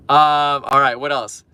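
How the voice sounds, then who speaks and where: Upbeat guy from poland, fitness coach chatting on a live stream from his car, slightly high voice. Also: bright, forceful tone, smooth. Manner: chatty, upbeat, reads viewer comments aloud. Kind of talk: casual livestream chat while driving. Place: in a car in traffic.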